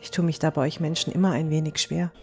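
A woman playing a stern, protective non-human young woman in a fantasy audio drama, speaking gravely and firmly. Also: deep voice, level tone, a little mellow, faint music behind. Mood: upset, grave. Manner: firm, grave, measured.

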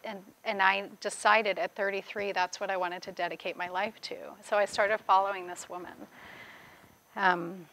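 Bright-voiced woman author reading aloud. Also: mid-range voice, flat delivery, moderate pace, slight background noise, low-quality recording. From grew up in the Bahamas. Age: 40s. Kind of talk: reading aloud from own memoir, with spoken commentary. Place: indoors, seated before a plain wall at a reading event.

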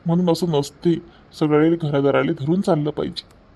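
Cartoon father-in-law, played by a man: older, slightly high yet dark soft tone, folksy moraliser, Varhadi Marathi accent. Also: steady tone, moderate pace, creaky. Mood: fond, moralising. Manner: preachy, folksy, rhetorical questions. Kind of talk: amateur cartoon family drama.

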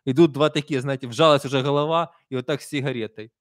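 A man in his thirties with a Kyiv accent talks conversationally into a close desk mic, leaning into certain points and then dropping to softer asides. His voice sits at an ordinary middle pitch. The room sounds dry, with no echo. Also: steady tone, quick pace. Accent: Kyiv Russian. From Ukraine. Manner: conversational, emphatic, soft-spoken asides. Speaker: streamer reading viewer comments. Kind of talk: live stream chat. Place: small room indoors. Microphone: desk stand mic close up.

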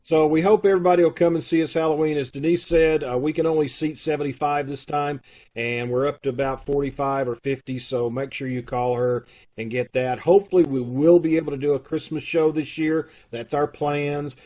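A middle-aged man with a husky voice, making folksy, casual announcements to an online audience over a video call. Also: on the high side, fairly even intonation, fairly quick, dark tone. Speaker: troupe host making announcements. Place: room at home, on video call. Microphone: video call microphone.

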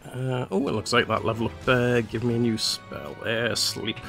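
A man's voice: mid-range voice, normal speed, vocal fry, soft background music. A man hosting a let's play, chatting casually about his gameplay in a rambling way with many fillers. His nose is slightly congested from a cold. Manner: relaxed, rambling, with frequent "uh" fillers.

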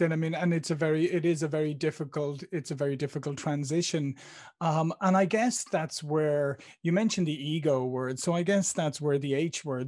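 An Irish man in his fifties hosts a conversational interview. His voice sits a little high, and he thinks aloud, often restarting a phrase before landing the point. He speaks close into a podcast microphone. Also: a little mellow. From Ireland. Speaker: interview host. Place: home studio with branded backdrop. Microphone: podcast microphone on stand.

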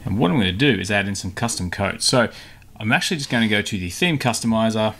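Guy with lowish voice doing a laid-back screen tutorial, thinking out loud, slight noise, keyboard clicks. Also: animated, fast. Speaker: tutorial host. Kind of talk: casual software screen tutorial. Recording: clicks and typing, faint background noise. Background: keyboard typing and mouse clicks.

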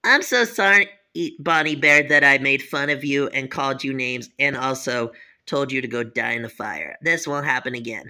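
Woman playing a low, sharp-voiced naughty schoolboy. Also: normal speed, full character voice. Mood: sheepish. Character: naughty, defiant schoolboy in trouble. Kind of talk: amateur role-played children's cartoon story.

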